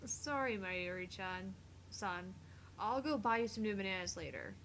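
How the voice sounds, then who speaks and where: A woman voicing a sharp young female genius scientist in a visual novel game, with a dry, needling manner. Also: mid-range voice, expressive, normal speed, slight background noise. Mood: apologetic.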